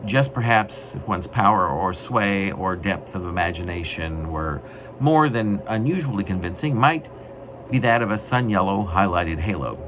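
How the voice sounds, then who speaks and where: Low-voiced man reading poetry, widely swooping pitch. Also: animated. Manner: wry, mock-grandiose, deliberate phrasing. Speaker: poet reading own work. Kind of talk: literary poetry reading with offhand asides. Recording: noisy amateur recording.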